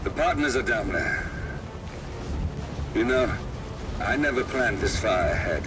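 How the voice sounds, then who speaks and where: An actor playing a wry male ally on a covert operation who turns to brisk radio calls, in a video game cutscene. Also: mid-range voice, expressive, distant mic, very noisy, close to an everyday voice. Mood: wry, urgent. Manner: wry, then urgent radio call. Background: game score music.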